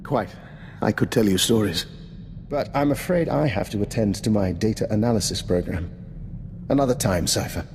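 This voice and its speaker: An actor playing an urbane, calculating old doctor and veteran spy in a video game, with a refined, courteous, understated manner. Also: male voice, fairly low pitch, normal speed, darkish tone, big-room sound, slight background noise, close to an everyday voice. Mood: genial, musing. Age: older. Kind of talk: video game dialogue acting.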